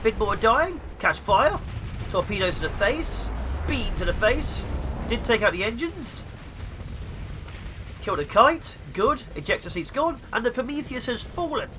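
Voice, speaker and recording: A young man with the flu, sounding sniffly, giving excitable let's play commentary. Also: very high-pitched, a little slow, background noise. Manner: excitable, mutters at enemy ships. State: sniffly with flu, sore throat. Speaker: gaming YouTuber.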